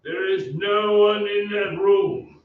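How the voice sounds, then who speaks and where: A man's voice: sits high, fairly even intonation, takes it slowly. A man playing a proud, stern English lord in old-time radio acting, declaiming haughtily. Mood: grim, stern. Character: proud, stern English lord, a grown man's father, defending family honor. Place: theatre stage. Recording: echoey stage, distant mic, dull, faint noise.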